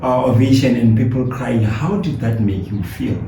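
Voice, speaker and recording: A man interviewing a guest casually, in a halting way as he searches for his words. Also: mid-range voice, warm tone. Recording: very roomy, distant mic, faint noise.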